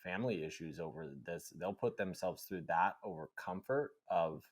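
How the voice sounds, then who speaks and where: A man with a very deep voice chats on a podcast in a relaxed, reflective way. He leans on "like" and "right?" as he builds his points, keeping things unhurried. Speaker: podcast co-host. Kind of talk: casual podcast conversation.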